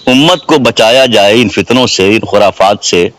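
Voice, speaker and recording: A man's voice: fairly low pitch, moderate pace, fairly bright tone. A man on a recorded phone call, formally debating religion, deferential yet insistent, pressing his points with rhetorical questions. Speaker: caller questioning a mufti.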